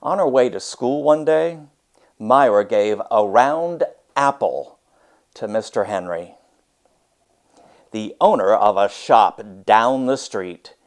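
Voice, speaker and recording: A man teaching and reading a storybook aloud with deliberate, emphatic, expressive phrasing. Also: on the low side.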